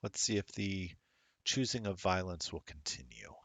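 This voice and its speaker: A man's voice: low-pitched, very animated, moderate pace, a bit bright, no room sound. A middle-aged man rambling casually while commentating a streamed tabletop game, self-deprecating and chatty.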